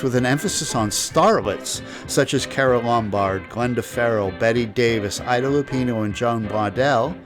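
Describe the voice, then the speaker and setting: A man presenting scripted documentary narration. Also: medium pitch.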